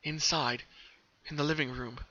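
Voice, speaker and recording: A man's voice: fairly high pitch, fairly even intonation, normal speed, pushed and bright. A man narrating a story as a dramatic reading, on a muffled lo-fi home recording.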